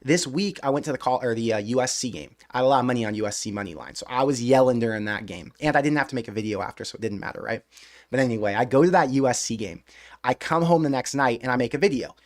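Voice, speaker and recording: A man hosting a live stream, chatting casually in a rambling, excitable way with mild swearing. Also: medium pitch, fast talker. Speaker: live-stream host of a fan channel.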